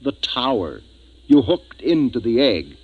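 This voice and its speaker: A man's voice: mid-range voice, sing-song, measured pace, breathy edge. An actor playing a grave, decisive male spaceship captain who narrates his tale in a 1950s radio drama. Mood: pleased, brisk. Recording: dull 1950s radio recording, music behind.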